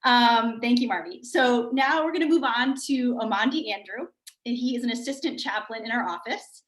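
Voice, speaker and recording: A woman's voice: on the high side, normal speed. A woman moderating a panel in a friendly, chatty way. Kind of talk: hosting a panel. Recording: roomy echo.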